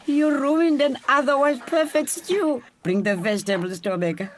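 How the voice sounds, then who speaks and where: A woman's voice: high voice, normal speed, slight background noise, natural voice. An actress playing a wise, teasing old grandmother in a radio drama, with a South African accent. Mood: teasing, instructive. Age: older.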